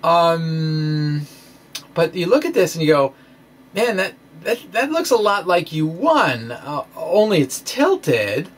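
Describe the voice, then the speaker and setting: A man giving an informal explanatory lecture in a halting, conversational way, as if thinking aloud. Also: on the high side, big pitch swings. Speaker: presenter of a physics blog. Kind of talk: informal explanatory lecture, dramatic reading of a blog post.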